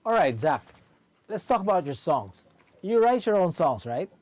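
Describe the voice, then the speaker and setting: Curious host, higher voice. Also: male voice, very animated, moderate pace, darkish tone, no echo. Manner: curious, short probing questions. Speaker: show host. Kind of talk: interview questions.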